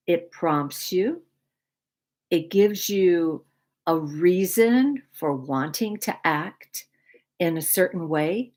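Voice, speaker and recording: Low-voiced, very unhurried American woman. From Michigan, United States (born in Poland). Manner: deliberate, halting phrasing with pauses. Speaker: video series host. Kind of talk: reflective explanatory monologue to viewers.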